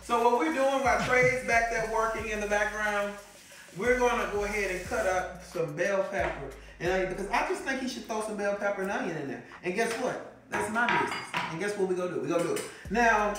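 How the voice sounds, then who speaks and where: A man's voice: high-pitched, lively intonation, fairly quick, bright, forceful tone, a little hiss or hum. Sassy American man in an echoey kitchen. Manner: playful, sassy. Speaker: cooking show host. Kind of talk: casual kitchen banter. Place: home kitchen, indoors. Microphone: camera a few feet away. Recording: echoey kitchen, distant mic, music behind.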